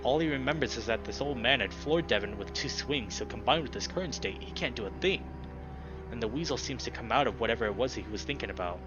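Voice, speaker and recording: A young adult man reading a visual novel aloud with expressive narration and excitable, emphatic commentary. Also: mid-range voice, quick pace. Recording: slightly dull audio, music behind.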